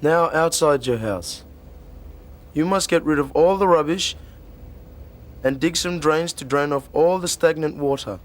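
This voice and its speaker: An actor playing a stern, patronising doctor in an educational film, giving firm instructions. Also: male voice, on the high side, measured pace, long pauses, natural voice. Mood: instructing. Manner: lecturing, patronising. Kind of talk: acted educational film dialogue.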